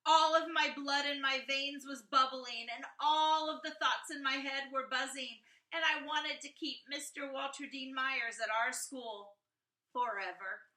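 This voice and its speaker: An earnest schoolboy from a storybook , acted out by a woman, gushing and emphatic as he reads out his writing. The voice is very bright and high, taking its time over the words in an echoey room. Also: fairly even intonation. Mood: excited awe. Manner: emphatic, halting repetition of words. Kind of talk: expressive storybook read-aloud.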